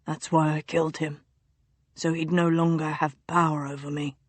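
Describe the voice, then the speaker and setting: A woman voicing a feverish, delirious young male duke who murmurs in a low hush. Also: flat delivery, moderate pace, dry recording. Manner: low hushed murmuring, drifting in and out.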